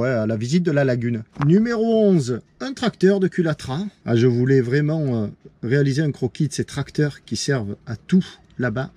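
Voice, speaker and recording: A man's voice: mid-range voice, warm tone. A man talking casually to camera in a rambling, self-correcting way, showing his watercolour cards from a boat. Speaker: vlogger and watercolour painter. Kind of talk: casual vlog talk to camera.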